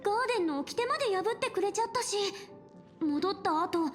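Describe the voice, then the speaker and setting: A woman's voice: very high voice, lively intonation, very fast, soft background music. A woman voicing a bubbly, sentimental teenage girl in an anime-style game, exclamatory and openly emotional. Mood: worried, earnest. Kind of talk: Japanese anime game voice acting.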